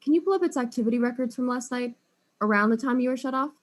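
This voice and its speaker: An actress playing a wry, weary detective in an audio drama, speaking casually. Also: female voice, fairly high pitch, fast, lightly acted. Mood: reassuring, wry.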